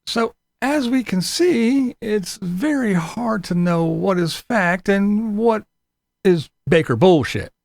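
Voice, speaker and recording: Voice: medium pitch, animated, slow pace, vocal fry, darkish tone, dry recording. A commentator narrating a mocking, sarcastic video about someone, in an informal way.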